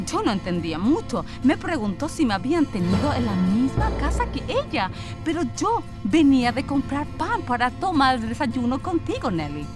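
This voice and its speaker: A woman's voice: high voice, big pitch swings, moderate pace, over music. An actress playing an exiled woman come home to her old love, telling her story earnestly and dramatically in a radio play. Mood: haunted, confessional. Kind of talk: radio theatre acting.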